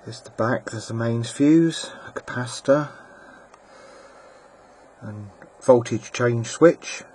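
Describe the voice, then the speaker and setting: A man's voice: mid-range voice, slow pace, darkish tone. Man narrating casually over background noise. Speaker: presenter demonstrating his own equipment. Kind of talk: casual hobbyist demo narration. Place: indoors at a workbench. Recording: clear background noise, amateur camera audio.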